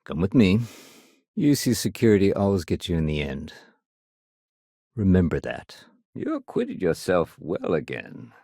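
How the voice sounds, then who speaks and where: A man's voice: sits low, huge pitch swings, measured pace, gravelly, long pauses, dark tone, dry recording, clean studio sound, heavily acted. An actor playing a no-nonsense, dutiful male city security sergeant in a video game, with a clipped, official, wry delivery. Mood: dry, firm.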